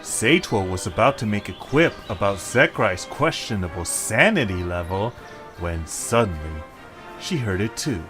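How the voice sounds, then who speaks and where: A man's voice: fairly low pitch, sing-song, measured pace, music in the background. A man reading scripted story narration.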